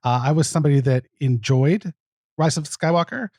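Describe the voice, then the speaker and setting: Mellow-voiced man, thoughtful podcast guest. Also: fairly high pitch, fairly quick, dry recording, studio quality. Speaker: podcast guest, producer and narrator. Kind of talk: casual interview answers.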